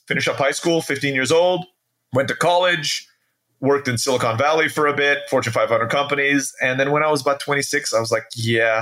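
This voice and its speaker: A man's voice: mid-range voice, fairly bright tone. An American man in his forties telling personal stories casually as an interview guest, often tagging sentences with "right?". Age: 40s. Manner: conversational, peppered with "right?" tags.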